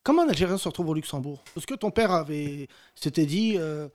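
High-voiced teasing host. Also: male voice, expressive, darkish tone. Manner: teasing, provocative, quick jokes. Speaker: podcast host. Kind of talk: joking banter with guests.

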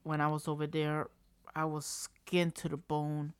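A man's voice: fairly high pitch, monotone, a little slow, smooth, no room sound. A man in his thirties telling a personal story for his video series in a candid, rambling way, often saying "trust me" and sometimes cursing. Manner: rambling and frank, leaning on "trust me" and "like"; occasional mild cursing. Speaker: vlogger. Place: indoors at home, construction noise nearby.

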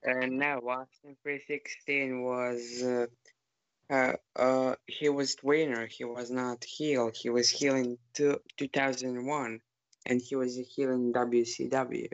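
A man co-hosting a casual podcast, talking in a halting, deadpan way. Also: medium pitch, dry recording, lo-fi sound. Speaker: podcast co-host. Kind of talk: casual chat ranking wrestlers.

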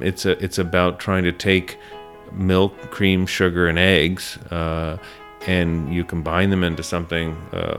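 Business-owner interviewee, male, low deep voice, conversational and halting, light music underneath. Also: a bit bright. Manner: halting, repeats words. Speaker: ice cream shop owner, interview guest. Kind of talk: casual interview storytelling.

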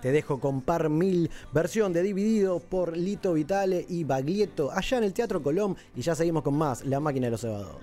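A man hosting a radio show, reading music news in an Argentine Spanish accent with a steady announcer delivery. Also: fairly high pitch, fairly quick, few pauses.

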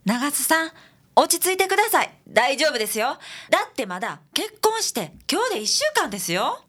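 A high, bright young assistant played by a woman with a Kansai accent. She is pert, nosy and excitable, her casual radio-play lines swooping up and down. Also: fairly quick. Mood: curious, excited. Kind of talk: radio drama dialogue.

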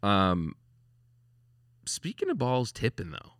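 Low-voiced man hosting a sports podcast. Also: huge pitch swings, takes it slowly, fairly bright tone, no echo, studio quality. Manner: rambling, lots of restarts and fillers, laughs easily. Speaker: podcast host. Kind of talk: casual sports chat.

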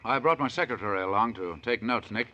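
An actor playing a genial, businesslike lawyer with an American accent, polite and in charge, in 1940s radio drama style. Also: male voice, mid-range voice, fast talker, sharp tone, natural voice. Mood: jovial. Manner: jovial, polite, takes charge. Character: genial, businesslike male lawyer taking down a will. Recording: muffled, hissy 1940s radio recording.

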